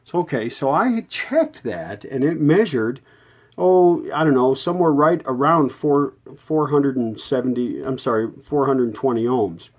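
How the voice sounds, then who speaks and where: A man's voice: medium pitch, animated, measured pace. A man giving a casual, unscripted repair walkthrough in a folksy way, thinking aloud and correcting himself as he goes. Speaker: radio repair hobbyist and video host.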